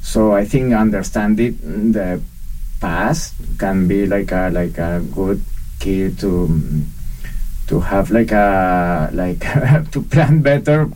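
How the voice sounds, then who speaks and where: A man from Venezuela, a guest in an interview, who answers with many fillers, pauses and restarts. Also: low-pitched, a little mellow. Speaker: guest, documentary researcher and professor. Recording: slightly distant mic with faint background noise.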